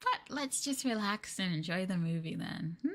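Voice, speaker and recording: A woman's voice: mid-range voice, animated, moderate pace, noisy background, natural voice. A woman playing an obsessively possessive yandere girlfriend in an ASMR roleplay, cooing and coaxing. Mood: coaxing, affectionate. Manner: cooing, coaxing, with murmurs and giggles.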